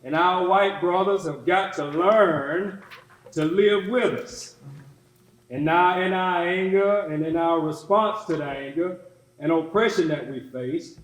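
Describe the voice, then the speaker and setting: A man playing an earnest civil rights era preacher in a staged sermon, speaking in deliberate oratorical phrases. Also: high-pitched, reverberant, rough recording. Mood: solemn, exhorting. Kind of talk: staged sermon in readers theatre.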